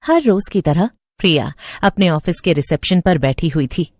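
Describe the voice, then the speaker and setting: A woman narrating a scripted horror story for an animated video. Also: on the low side, moderate pace, no echo, studio quality.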